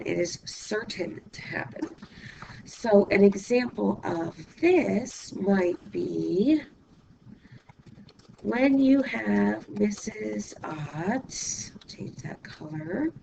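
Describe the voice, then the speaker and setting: A hoarse woman teaching math, her voice very gravelly and rough from illness. She walks through each step patiently, pausing as she writes and checking that students follow. Steady background noise sits under the recording. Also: medium pitch. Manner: patient step-by-step explaining, asks "okay?"; writes while talking, pausing mid-sentence. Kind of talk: recorded classroom lesson.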